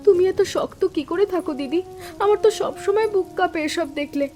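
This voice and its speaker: A woman playing a timid, earnest young sister-in-law in an animated story, with an anxious, pleading and deferential manner. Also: extremely high pitch, a little fast. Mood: worried.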